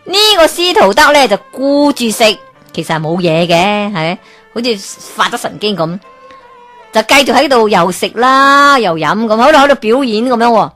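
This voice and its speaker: A woman with a Hong Kong Cantonese accent narrating a folk tale as a radio storyteller, lively and expressive. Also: fairly high pitch, moderate pace, soft background music. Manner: lively, expressive, chuckling asides.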